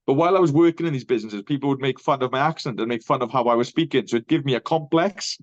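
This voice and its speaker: A man's voice: mid-range voice, racing, highs cut off. A middle-aged man from Wales with a Welsh accent, a native Welsh speaker, talking earnestly as an interview guest. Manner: earnest, reflective, says mate often. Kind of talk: casual interview chat.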